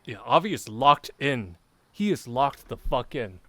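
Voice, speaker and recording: A man's voice: mid-range voice, big pitch swings, unhurried, pushed and bright, dry recording. A man giving slangy, joking, casual livestream commentary on a game. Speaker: streamer playing a visual novel.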